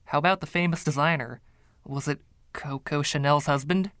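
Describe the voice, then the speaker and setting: A man's voice: on the high side, normal speed, fairly bright tone, clear, resonant tone, no room sound, natural voice. A man voicing an exasperated, doubting pianist in a short story reading, questioning in an incredulous way. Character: exasperated, doubting male pianist narrator named Andre.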